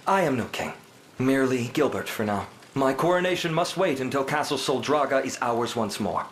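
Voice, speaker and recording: An actor playing a proud, dutiful uncrowned prince in a fantasy game, with regal, formal and deliberate phrasing. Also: male voice, mid-range voice, lively intonation, slight background noise, close to an everyday voice. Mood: solemn. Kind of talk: dramatic fantasy game voice acting. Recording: loud orchestral music behind.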